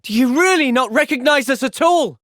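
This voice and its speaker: A young man playing a thoughtful, earnest young soldier in video game cutscenes, speaking in a gentle, reflective manner. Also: very high-pitched, animated, moderate pace, no echo, studio quality. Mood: urgent, intense.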